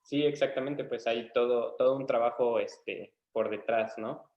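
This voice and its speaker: Young male interviewer, Mexican accent, medium pitch, conversational student host. Lo-fi, muffled call sound with room echo. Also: fairly even intonation, measured pace. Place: indoor room, online webinar call. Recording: echoey, dull lo-fi call audio.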